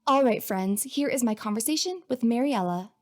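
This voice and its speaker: Higher-pitched woman hosting a podcast. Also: lively intonation, normal speed, a little mellow. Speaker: podcast host. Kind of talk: scripted podcast introduction.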